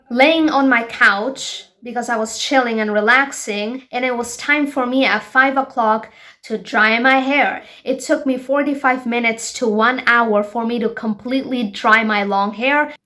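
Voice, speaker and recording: Young woman from bangladesh hosting her vlog, talks straight at you, kinda high voice. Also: measured pace, bright, forceful tone. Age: 20s. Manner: frank, emphatic, talks straight to her viewers. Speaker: show host. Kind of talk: personal vlog monologue.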